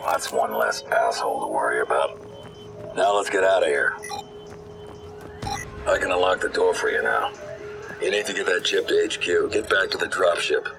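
An actor playing a gruff, cynical corporate agent in a video game, terse and commanding, with a gravelly voice. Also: male voice, sits low, expressive, normal speed, long pauses. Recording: distant voice under game music.

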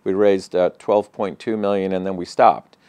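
An older man with a very low voice gives steady, matter-of-fact answers, pausing on small fillers and restarting phrases. The sound is dry and close, picked up by a clip-on mic in an office. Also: dry recording. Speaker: regional center president, interview guest. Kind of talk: business interview answers. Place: office with bookshelves, indoors. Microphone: lapel mic.

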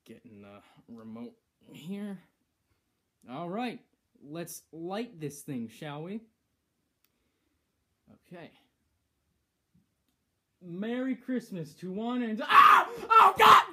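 A teenage boy hosting a comedic skit in a living room, bossy and exasperated, bursting into shouts, recorded on a camera mic a few feet away. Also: high voice, wildly swooping pitch, very slow, pauses often. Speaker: host. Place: decorated living room indoors.

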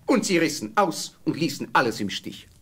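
A man's voice: a little high, very animated, normal speed, fairly bright tone, breathy edge. A man narrating a scripted fairy tale as the storyteller of an audio play.

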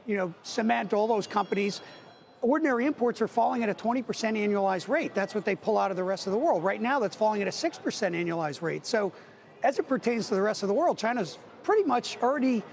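A middle-aged man speaking as a market strategist on a TV news set, arguing points quickly with many figures. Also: sits high, animated, vocal fry, a little hiss or hum. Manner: dense with figures, argumentative. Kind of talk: financial news analysis. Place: TV studio on a trading floor.

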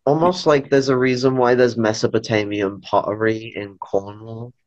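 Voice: mid-range voice, fairly even intonation, moderate pace, darkish tone, dry recording. Dry, dull-sounding young British speaker, irreverent. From United Kingdom. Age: young adult. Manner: irreverent, wry. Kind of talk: casual chat. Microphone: video call. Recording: dull, dry call audio.

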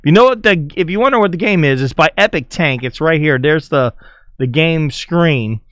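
A man hosts a casual reaction video in a fairly high, animated voice. He comments on clips as they play and reads captions aloud playfully. The sound is dry, with little room to it. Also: normal speed, dry recording. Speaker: YouTube host.

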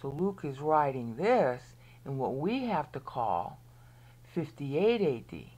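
Low-voiced American woman teaching scripture. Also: sing-song, slow, darkish tone, husky, highs cut off. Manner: rambling, explanatory, often asks okay; drops to a near whisper at times. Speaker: Bible teacher. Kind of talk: screen-share Bible lesson.

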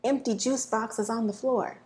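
A woman in her thirties performing a spoken word poem at home, slow and measured with dramatic pauses, sometimes dropping to soft, breathy near-whispers, recorded on a wired earphone mic. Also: fairly high pitch, steady tone. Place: small room at home, indoors.

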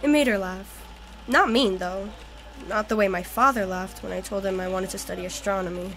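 A woman's voice: medium pitch, moderate pace, a bit bright, close to an everyday voice. An actress playing a wistful, bookish young woman who loves astronomy, in a natural audio drama. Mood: wistful, hurt.

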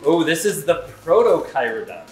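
A man's voice: fairly high pitch, very animated, moderate pace, roomy sound, soft background music. A man giving casual, chatty and enthusiastic commentary as host of a shop's unboxing video. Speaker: aquarium shop host. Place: indoors in an aquarium shop.

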